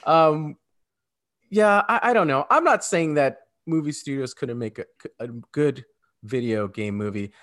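A man's voice: a little high, lively intonation, unhurried, a bit bright. A man co-hosting a podcast, talking about films in an informal, conversational way. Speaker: podcast co-host. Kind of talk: casual film discussion.

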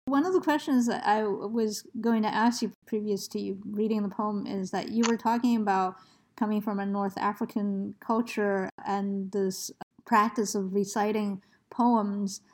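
A woman hosting an interview, asking questions slowly and thoughtfully with frequent hesitations. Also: medium pitch, fairly even intonation. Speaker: interviewer host. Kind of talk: conversational interview.

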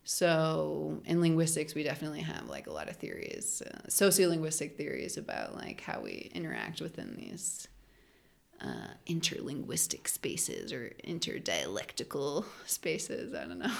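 A woman's voice: low-pitched, huge pitch swings, normal speed, creaky. A young adult woman, a linguist guest on a podcast, talking casually and explaining ideas with lots of "like" fillers. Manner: chatty, frequent "like" fillers, laughs while explaining.

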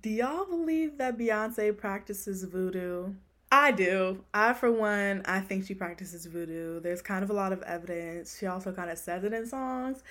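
A young woman hosts a casual podcast, talking in a relaxed, rambling way. She laughs often and keeps things loose, with a voice that sits in an ordinary middle range. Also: mid-range voice, a little slow, faint music behind. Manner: chatty, laid-back, laughs often. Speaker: podcast host. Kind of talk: casual chat.

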